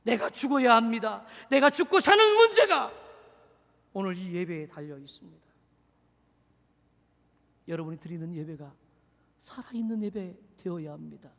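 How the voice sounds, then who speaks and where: A pastor from Seoul in his forties preaching a sermon, swinging from shouted exhortation to hushed, confiding asides. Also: sits high, big pitch swings, normal speed, pauses often. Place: church sanctuary. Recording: reverberant church hall.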